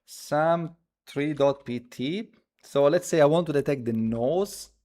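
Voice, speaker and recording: A man who speaks as a hosts a casual live coding stream, thinking aloud haltingly and repeating his phrases as he demonstrates. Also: fairly high pitch, dark tone. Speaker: livestream host.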